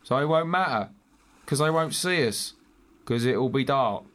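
An actor playing a clumsy male accomplice who fell in the mud, sulky and deadpan in a radio drama. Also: mid-range voice, a little slow.

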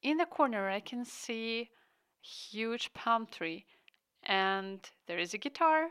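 Slow-narrating woman podcast host. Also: a little high, expressive, fairly bright tone, smooth. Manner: slow, descriptive. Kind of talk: scripted narration.

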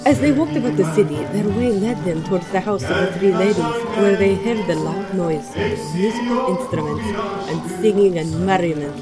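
A woman's voice: on the low side, lively intonation, moderate pace. A woman reading scripted storytelling narration of an old tale. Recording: music behind the voice.

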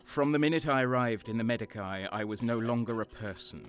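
A man's voice: medium pitch, a little fast, faint music behind, lightly acted. A man playing a cynical, guarded wounded guardsman sergeant, narrating a sci-fi war audio drama in a sardonic, brooding way. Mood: grim, wry.

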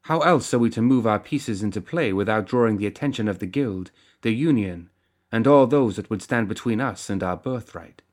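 A man voicing a composed, commanding woman who leads a crime syndicate, stern yet compassionate, speaking in a measured, formal way in an audiobook. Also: on the low side, expressive, a little mellow, natural voice. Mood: cool, impatient. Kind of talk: audiobook character acting.

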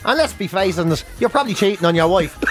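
A man's voice: high voice, animated, fast, fairly bright tone, faint music behind. An Irish man with a Dublin accent co-hosting a comedic chat show, ranting bluntly and swearing in a mock-serious way. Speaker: podcast and radio co-host.

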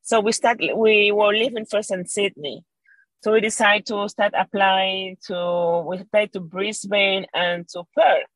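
A woman's voice: mid-range voice, steady tone, measured pace, dry recording, low-quality recording. Enthusiastic woman, Venezuelan Spanish accent. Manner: warm, enthusiastic, laughs often, restarts phrases. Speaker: podcast guest, wine educator. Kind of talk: casual interview talk.

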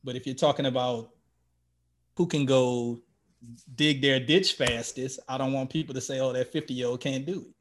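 A man's voice: mid-range voice, fairly even intonation, moderate pace. A man in his fifties chatting casually, telling stories in an earnest way and correcting himself as he goes. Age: 50s. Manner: earnest, storytelling, self-correcting. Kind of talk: casual chat.

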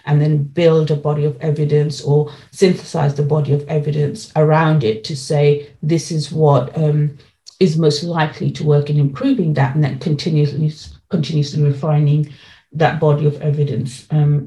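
A woman's voice: deep voice, little pitch movement, normal speed, dark tone, rough recording. A woman speaking as an interview guest, earnest and emphatic, repeating key phrases to make her point. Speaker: interview guest, education policy lead. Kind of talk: spoken interview answers.

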